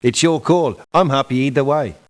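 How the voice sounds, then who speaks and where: An actor voicing a proud, sardonic doctor turned mercenary in a video game, haughty and scolding. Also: male voice, mid-range voice, normal speed, close to an everyday voice. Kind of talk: video game character lines.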